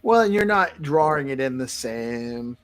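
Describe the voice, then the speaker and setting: A man's voice: medium pitch, animated, a little slow, a bit bright, clear, resonant tone, soft background music. A man hosting a casual morning talk show, chatting conversationally with guests. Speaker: host.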